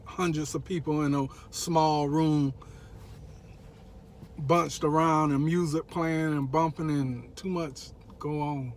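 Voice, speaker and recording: A man's voice: on the high side, steady tone, takes it slowly, a little hiss or hum. An American man co-hosting a relationship vlog, chatting casually in a colloquial, joking way. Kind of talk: casual chat.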